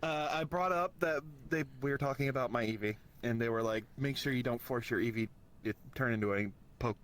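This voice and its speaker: A man with a bright, mid-pitched voice talks casually in character in a roleplay game. There is faint noise on the voice chat. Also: a little fast. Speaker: roleplay player. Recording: noisy online voice chat.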